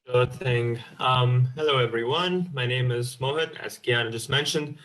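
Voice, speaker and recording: Young guy, grew up in india, relaxed friendly student on a video call. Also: mid-range voice, normal speed, low-quality recording. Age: young adult. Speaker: university student guest.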